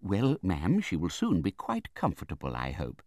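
A man's voice: low voice, huge pitch swings, normal speed, darkish tone, dry recording, heavily acted. A mild, timid male country doctor from a classic storybook, as a male narrator plays him. He speaks hesitantly and very politely, repeating himself, in a dry, close recording. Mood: meek, soothing. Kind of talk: dramatised audiobook reading of a classic novel.

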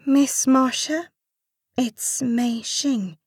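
A woman voicing a meek, polite but resolute immigrant woman in an audiobook story, soft-spoken and pleading. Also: mid-range voice, slow pace, breathy edge, no echo, clean studio sound, pitched above the performer's own voice.

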